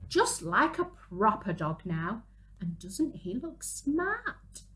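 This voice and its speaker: A woman reading a storybook as an excitable, affectionate little boy, wide-eyed and earnest. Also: medium pitch, slow pace. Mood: fond, proud.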